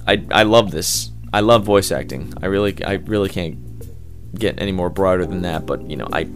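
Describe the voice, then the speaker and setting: A man's voice: deep voice, normal speed. A man giving casual, rambling, unscripted interview answers as an amateur voice actor, informal and keen on the work. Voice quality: loud voice. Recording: music playing behind the voice.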